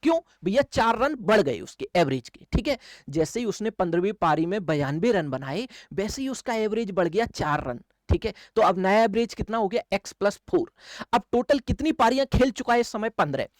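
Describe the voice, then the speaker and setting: A man's voice: high-pitched, animated, fairly quick, no echo. A man teaching maths for exam coaching, explaining step by step, repeating key phrases and answering his own questions. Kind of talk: classroom lecture, step-by-step explaining.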